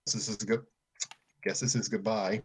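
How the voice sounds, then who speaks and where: A man's voice: fairly low pitch, flat delivery, measured pace, slightly rough. A man reading character dialogue in an amateur group script read.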